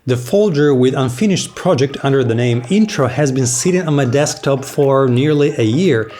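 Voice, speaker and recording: A young man talks straight to camera in a relaxed, playful vlog, jumping now and then into mock astonishment. His voice sits at an ordinary middle pitch, and soft music plays quietly underneath. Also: moderate pace. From Belarus. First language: Belarusian. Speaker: video creator. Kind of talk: casual vlog talk to camera.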